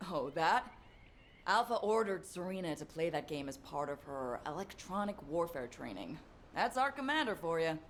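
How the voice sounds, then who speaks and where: A woman's voice: low voice, slight background noise. A woman voicing a tough, easygoing soldier in a video game, with a wry, teasing, casual way of talking.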